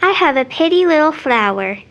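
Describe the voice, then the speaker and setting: A woman's voice: high-pitched, animated, fairly quick, vocal fry, a bit bright, lo-fi sound, exaggerated voice. A female performer playing a sweet, eager little girl in an audio drama, earnest and childlike. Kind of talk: stage play audio drama acting.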